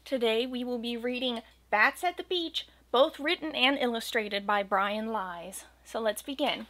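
Gentle young woman reading a storybook. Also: fairly high pitch, unhurried. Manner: gentle, expressive storytelling cadence. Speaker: children's book reader. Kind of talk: reading a rhyming picture book aloud. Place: small home room with bookshelves and green screen.

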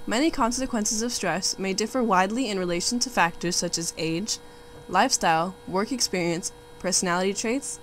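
A woman's voice: mid-range voice, poor audio. A young woman, a student narrator, formally reading out facts from a documentary script. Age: young adult. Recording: faint background hum and noise.

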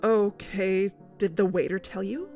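A woman's voice: medium pitch, measured pace, warm tone, soft background music, lightly acted. A woman playing a blunt, sarcastic amateur investigator in a conversational audio drama. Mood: exasperated, blunt.

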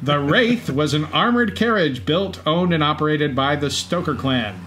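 A man's voice: on the high side, animated, normal speed, fairly bright tone. A man co-hosting a podcast with scripted narration. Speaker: podcast co-host. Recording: faint background ambience noise. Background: racetrack event ambience.

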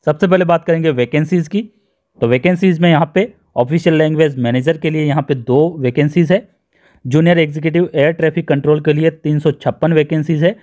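An Indian man presents an explanatory tutorial in a somewhat high-pitched voice. He guides the listener one step at a time and restates key points for emphasis. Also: fairly even intonation, fairly quick, dark tone. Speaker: presenter of job notification videos. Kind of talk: explanatory screen-share tutorial narration.

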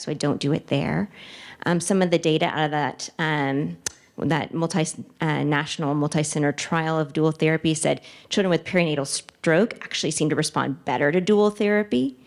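A woman's voice: sits low, fairly even intonation, a little fast, vocal fry. A woman in her thirties, a child neurologist, answering audience questions into a handheld microphone in a conference room, thoughtful and full of fillers and restarts. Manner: gestures with her free hand while speaking. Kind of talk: answering questions at a medical talk. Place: conference room in front of a wooden wall.

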